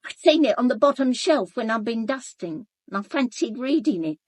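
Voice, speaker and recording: A forthright housekeeper in a radio drama, gossipy and easily affronted. Her pitch swoops widely as she talks, and the sound is close and dry. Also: lively intonation, moderate pace, no echo. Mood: matter-of-fact. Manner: indignant, gossipy, matter-of-fact. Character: forthright, chatty working-class housekeeper, sharp and unbothered.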